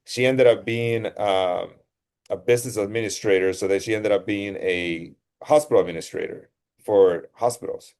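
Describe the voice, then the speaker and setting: A middle-aged man from Costa Rica speaks in a fairly low voice. He answers interview questions in a relaxed, rambling way, often correcting himself mid-sentence. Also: moderate pace. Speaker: guest, surgeon. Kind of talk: casual interview storytelling.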